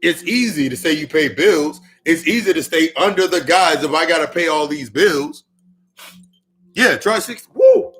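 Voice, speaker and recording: A man in his mid-thirties talks through a loose spiritual monologue in a slightly high, bright and forceful voice. He swears freely and circles back over his own phrases to hammer them home. Faint music with ringing bowl tones sits behind him. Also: animated, moderate pace. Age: 30s. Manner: profane, repetitive, emphatic. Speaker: spiritual speaker.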